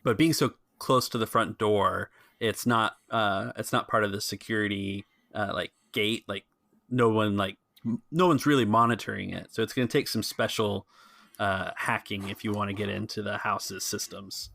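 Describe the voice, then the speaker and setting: A man with a fairly low voice narrates a tabletop role-playing game as its host and game master. The sound is dry, with very little room in it. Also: steady tone, normal speed, gravelly, a bit bright, no echo.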